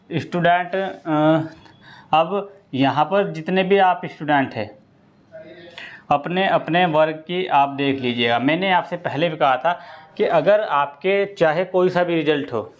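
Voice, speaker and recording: A man with a somewhat high-pitched voice talks students through exam information. He is informal and instructive, repeating points and adding short check-in tags. The recording sounds dull and a little lo-fi. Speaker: online exam-prep presenter. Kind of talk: informal explainer addressed to students.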